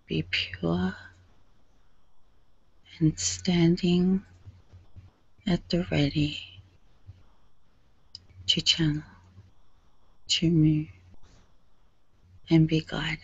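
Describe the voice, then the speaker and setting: A woman leading a guided meditation in a soothing, hushed and breathy manner, leaving long pauses. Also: sits low, little pitch movement, dry recording, highs cut off.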